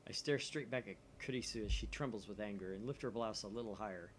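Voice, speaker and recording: A man's voice: on the low side, fast, rough recording. A man narrating a visual novel aloud expressively, dropping in casual asides. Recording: steady faint background noise.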